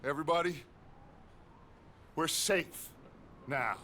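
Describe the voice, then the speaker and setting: An actor playing a grand, reassuring American outlaw gang leader in a cinematic game, speaking in rousing, folksy, deliberate oratory. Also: male voice, on the high side, bright, forceful tone, slight background noise. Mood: rallying, reassuring.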